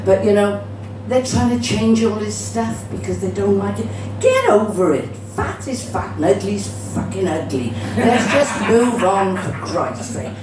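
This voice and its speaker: A woman's voice: mid-range voice, expressive, unhurried, few pauses. A middle-aged woman with a Cardiff Welsh accent performing live stand-up comedy in a chatty, bawdy manner, swearing freely. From Cardiff, Wales. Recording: roomy, distant, noisy live venue recording.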